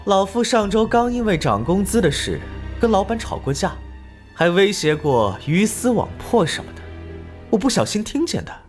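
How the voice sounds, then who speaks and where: 女演员配音的泼辣轻佻女助理，语调起伏大. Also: female voice, mid-range voice, very animated, moderate pace, a little mellow, soft background music, lightly acted. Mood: gossipy, catty. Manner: sassy, gossipy, drawling, mocking. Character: brazen, flirtatious, sharp-tongued woman assistant with mercenary streak. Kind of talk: animated crime drama acting.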